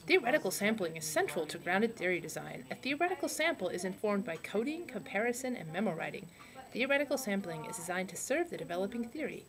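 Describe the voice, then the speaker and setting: A woman voicing a deadpan male sociologist puppet, reading out a comic lecture in a casual way. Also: mid-range voice, quick pace. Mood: lecturing.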